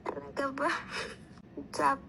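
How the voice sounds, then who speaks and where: A woman's voice: sits high, monotone, slow, creaky, noisy background. A woman with a Filipino accent chatting casually in short, exclamatory, startled reactions.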